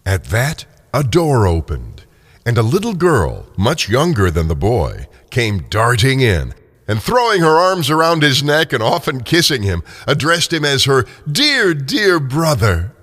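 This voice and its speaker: An older man narrating a scripted story dramatically, with expressive, deliberate phrasing. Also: medium pitch.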